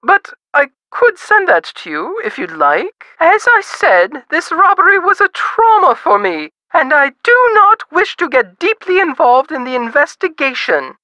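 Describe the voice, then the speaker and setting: High, swooping haughty society matron. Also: female voice, big pitch swings, measured pace, hardly any pauses, sharp tone, no echo, heavily acted, low-quality recording. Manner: imperious, theatrical, grand formal diction. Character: haughty, fussy, melodramatic rich society matron. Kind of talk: video game voice acting.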